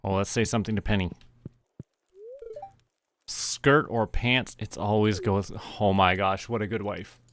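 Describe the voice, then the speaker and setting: Low-pitched man, relaxed game streamer. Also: measured pace, long pauses. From Canada. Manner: relaxed, talking to himself. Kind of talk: casual gameplay commentary. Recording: music behind the voice. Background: music.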